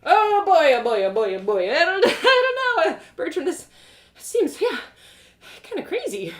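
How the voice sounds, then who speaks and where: Woman as an excitable, high-swooping young farm woman. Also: moderate pace, exaggerated voice. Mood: nervous excitement. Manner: stammering, breathless, swooping.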